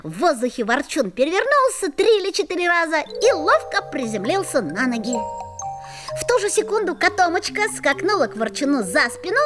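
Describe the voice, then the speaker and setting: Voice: very high voice, very animated, a little fast, music in the background. A performer playing an eager young child who narrates and acts in a fairy-tale audio play. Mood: excited storytelling.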